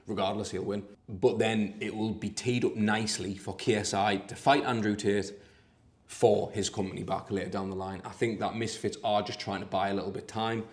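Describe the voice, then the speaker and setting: A man with a Sunderland (Mackem) accent giving an excitable, swear-laden vlog rundown from a hotel room as a podcast host, leaning on "obviously". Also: on the low side. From Sunderland, England. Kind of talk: casual vlog commentary.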